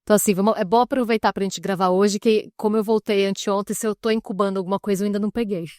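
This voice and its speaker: A woman talks as a podcast co-host, relaxed and chatty, drifting into asides as she sets up a story. Her voice sits at an ordinary pitch. The recording is dry and close. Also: racing, dry recording. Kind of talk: casual storytelling chat.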